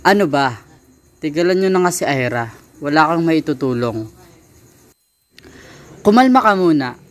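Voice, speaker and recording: A man's voice: on the high side, big pitch swings, a little slow. A young man playing a protective, exasperated student friend in an amateur radio drama. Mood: defensive. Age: young adult. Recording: faint background noise.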